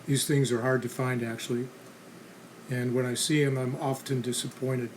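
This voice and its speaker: A man with a medium-pitched voice narrates a restoration how-to in a casual style. His delivery is plain, practical and instructional. Clear background noise and ambience sit behind his words. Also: level tone, normal speed, rough recording. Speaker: host of a restoration how-to channel.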